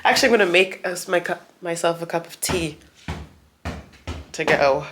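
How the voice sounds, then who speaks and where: A woman's voice: lowish voice, moderate pace, background noise. A young woman vlogging at home, chatting casually while getting ready, a little congested as she gets over a cold. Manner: chatty, rambling, self-interrupting. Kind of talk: casual get-ready-with-me chat. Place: at home, indoors.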